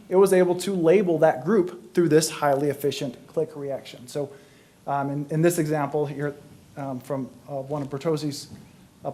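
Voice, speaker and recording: A male university lecturer with a somewhat high voice explains science to an audience. His delivery is halting, and he often searches for the next word as he works through the explanation. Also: darkish tone, a little hiss or hum.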